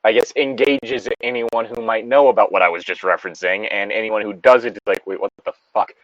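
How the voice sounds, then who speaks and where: A man's voice: lowish voice, fairly even intonation, fairly quick, fairly bright tone, no room sound, highs cut off. A man co-hosting a story reading show, switching between reading aloud and casual, sardonic, rambling commentary with some swearing.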